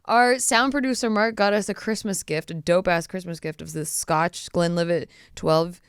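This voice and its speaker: A woman hosting a podcast in casual chat, her voice a bit raspy from bronchitis, chatty and laughing often. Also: on the low side, steady tone, moderate pace, no room sound, studio quality. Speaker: podcast host.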